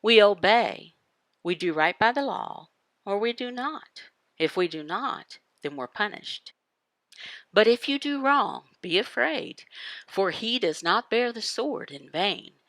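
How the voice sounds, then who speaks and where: Woman teaching scripture with wide, swinging pitch. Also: expressive, slow pace, fairly bright tone, no echo. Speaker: voice interpreter for a signed devotional. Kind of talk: devotional Bible teaching, voiced from sign language.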